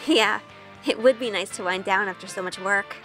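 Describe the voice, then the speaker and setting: A woman's voice: mid-range voice, normal speed, bright, forceful tone, big-room sound, faint music behind, poor audio. An actress playing a sheltered, good-hearted young woman turned witch, narrating her own audio drama story with wonder. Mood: shy, hesitant. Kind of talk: first-person audio drama narration with in-scene dialogue.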